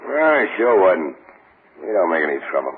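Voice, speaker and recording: An actor playing a streetwise death-row convict who talks in tough slang, in old radio drama style. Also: male voice, low-pitched, big pitch swings, brisk. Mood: scheming. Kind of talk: 1940s radio acting. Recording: tinny hissy old radio broadcast.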